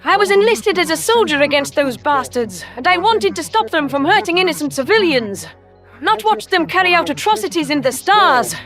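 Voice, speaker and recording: A woman playing an ancient, principled alien predator soldier who speaks in a smooth, formal, reproachful way in an audio story. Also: very high voice, sing-song, moderate pace, a bit bright, full character voice. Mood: earnest, grieving. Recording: music under the voice. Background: music.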